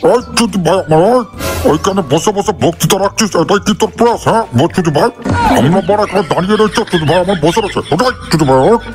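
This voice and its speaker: A man voicing a bossy cartoon grandfather, highish voice. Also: fast talker, natural voice. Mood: scolding. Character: scolding old grandfather in a comedy cartoon. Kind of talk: cartoon character addressing viewers. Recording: voice over background music.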